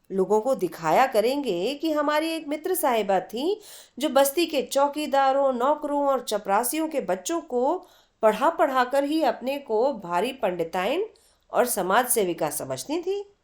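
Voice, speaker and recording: Storybook role: playful, insistent young art-student woman, done by a female narrator, high voice, leisurely pace. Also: expressive, a little slow. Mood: teasing. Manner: insistent, exclamatory, teasing.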